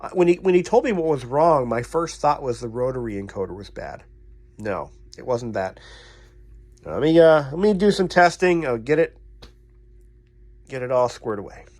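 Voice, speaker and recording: A man's voice: mid-range voice, animated, normal speed, pauses often, a little mellow. A man working as a repairman and hosting his own vlog, talking casually and thinking aloud as he works. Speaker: radio repairman and video host.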